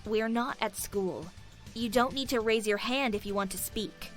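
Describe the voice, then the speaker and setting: An actress playing a blunt, impatient, sharp-tongued deputy in a visual novel game. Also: female voice, fairly high pitch, normal speed, faint music behind, natural voice. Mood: snappy.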